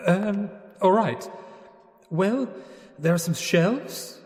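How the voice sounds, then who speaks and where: An actor playing a bumbling, polite young English gentleman in an audio drama, hesitant and bewildered. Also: high voice, lively intonation, extremely slow, exaggerated voice. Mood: uneasy. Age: young adult. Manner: hesitant, genteel, flustered. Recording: long hall reverb, music behind.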